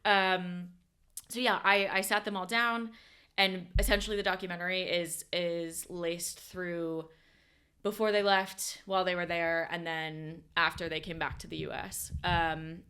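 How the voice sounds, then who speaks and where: A woman's voice: on the low side, level tone, measured pace, bright, forceful tone, smooth. A young woman from the United States, a podcast guest in casual chat who rambles with many fillers and corrects herself.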